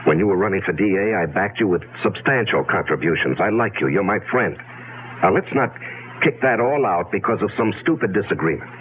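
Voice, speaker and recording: A man's voice: on the low side, lively intonation, fairly quick, natural voice. An actor playing a flustered, evasive well-off businessman who hesitates and stammers, in 1970s radio drama style. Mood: firm, pleading. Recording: hissy, narrow-band 1970s radio recording.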